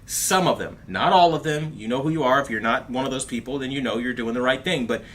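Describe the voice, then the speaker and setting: A man hosting a casual livestream, talking in an animated, chatty way with plenty of fillers and calling his viewers bro and dude. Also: mid-range voice, quick pace, slight background noise, rough recording. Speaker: host of a TV review livestream. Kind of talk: casual livestream chat reading viewer comments.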